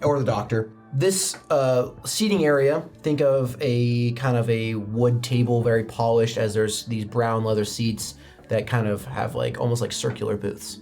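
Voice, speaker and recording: A man serves as game master for a tabletop roleplaying game. He improvises narration in a medium-pitched voice, informal and conversational. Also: steady tone, a little slow, darkish tone.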